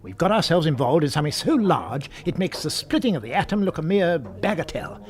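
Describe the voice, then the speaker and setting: Eager, pedantic British scientist, swooping pitch. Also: male voice, sing-song, brisk. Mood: fascinated. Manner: pedantic, enthusiastic, emphatic. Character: eager, fascinated amateur scientist husband, unflappably pedantic. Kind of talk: radio drama acting.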